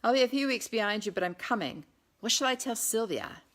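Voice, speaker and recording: A conflicted young English gentleman with a quick temper, read by a woman. He speaks in emphatic, clipped phrases, raised in pitch, as in a historical audiobook. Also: expressive. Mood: resolute.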